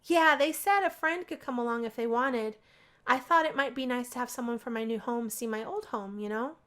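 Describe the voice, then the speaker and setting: Woman doing a sweet-natured earnest student girl, high voice, a bit hesitant, visual novel dialogue. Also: brisk, a bit bright, natural voice. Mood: friendly, thoughtful. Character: earnest, hesitant young female college student.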